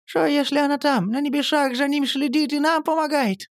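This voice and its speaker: A storyteller voicing a pale, frightened village girl who lisps and chatters fast. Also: high voice, steady tone, no echo, clean studio sound, pitched above the performer's own voice. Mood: grim. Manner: lisping, mumbling, rapid chatter.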